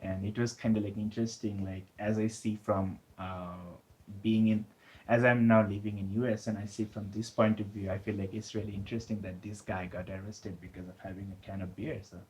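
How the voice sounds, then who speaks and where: A young man from Bangladesh, a guest in a casual interview, speaking in a relaxed, hesitant way with many fillers. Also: lowish voice, fairly quick, rough recording.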